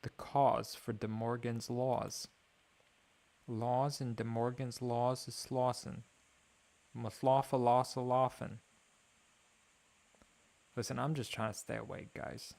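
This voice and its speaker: A man's voice: medium pitch, level tone, slow, vocal fry, pauses often. Wry man rambling on a livestream. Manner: wry, mock-grand, thinking out loud. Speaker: streamer.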